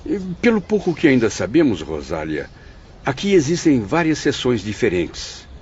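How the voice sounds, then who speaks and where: A man's voice: mid-range voice, wildly swooping pitch, moderate pace, darkish tone. A man playing a polite, inquisitive male apprentice spirit in an audio drama. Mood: curious. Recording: slightly dull audio, faint hiss.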